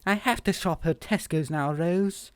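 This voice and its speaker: Man as a raised-pitch, haughty woman politician. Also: a little slow, clear, resonant tone, no room sound. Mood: pleading. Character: haughty, wounded middle-aged woman politician, pleading.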